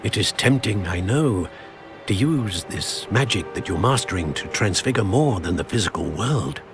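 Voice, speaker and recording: A man playing a wise, cautious wizard professor with gentle, formal, measured phrasing in a dramatic video game. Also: on the low side, lively intonation, natural voice. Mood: gentle, cautioning. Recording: orchestral music behind the voice.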